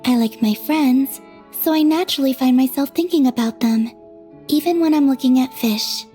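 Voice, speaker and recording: Woman playing a gentle maiden, high mellow voice, wistful, unhurried, anime game, faint music behind. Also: close to an everyday voice. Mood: serene, wistful. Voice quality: soft, breathy. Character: gentle, dreamy, serene young maiden. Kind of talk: anime video game voice acting.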